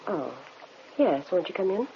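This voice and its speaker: An actress playing a genteel, evasive housekeeper on parole, hesitant and polite, in a 1950s radio drama. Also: female voice, mid-range voice, big pitch swings, a little slow. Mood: politely guarded. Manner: genteel, hesitant, smooth excuses. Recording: muffled old radio recording, faint noise.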